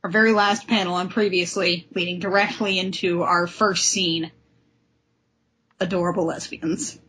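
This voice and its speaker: A woman's voice: fairly low pitch, fairly even intonation, normal speed, a bit bright, slight background noise. Enthusiastic woman chatting as podcast host. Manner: enthusiastic, effusive. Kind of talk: casual comic discussion.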